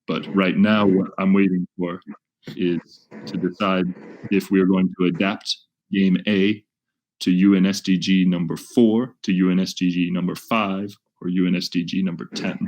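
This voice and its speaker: A man facilitating a webinar, talking off the cuff in a measured, halting way as he explains and puts questions to participants. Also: low voice, little pitch movement, a little mellow, rough recording. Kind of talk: unscripted webinar teaching, posing questions to participants.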